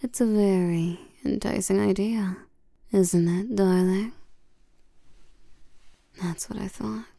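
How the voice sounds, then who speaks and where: Casting: actress as domineering vampire queen for intimate audio roleplay; unhurried, mellow, breathy delivery, teasing and condescending toward humans. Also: mid-range voice, steady tone, no room sound, clean studio sound. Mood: seductive, hypnotic. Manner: slow, teasing, domineering, with terms of endearment.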